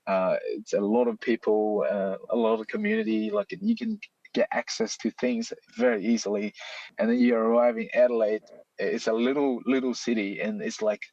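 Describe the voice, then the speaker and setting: A man in his thirties with a Chinese accent, a guest talking with lively emphasis and frequent restarts. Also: medium pitch, moderate pace, no echo, dull audio. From China. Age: 30s. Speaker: guest, software library author. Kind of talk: casual interview chat.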